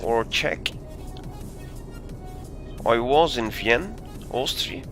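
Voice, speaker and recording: Guy, on his english, bright tone, talking slow while he drives in a game, lots of uhs. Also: mid-range voice, expressive, faint music behind. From Belgium. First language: Dutch. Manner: thinking aloud, easygoing, frequent ums and uhs. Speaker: game streamer. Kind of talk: live gameplay commentary.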